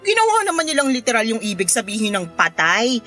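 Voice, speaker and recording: A woman's voice: fairly high pitch, sing-song, fast, pushed and bright, faint music behind. An actress with a Filipino accent playing a sarcastic, spiteful, unrepentant neighbour woman in a radio drama. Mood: mocking, smug. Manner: mocking, flippant, dismissive.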